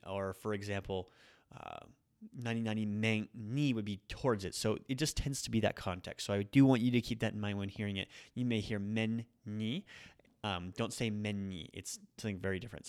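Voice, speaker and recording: A man with a lowish voice hosting a laid-back podcast lesson on Japanese grammar. He often corrects himself and drops Japanese phrases into his explanations. He sounds like a native English speaker. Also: smooth. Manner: relaxed, self-correcting, mixes in Japanese phrases. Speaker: podcast host teaching Japanese. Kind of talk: casual spoken grammar lesson.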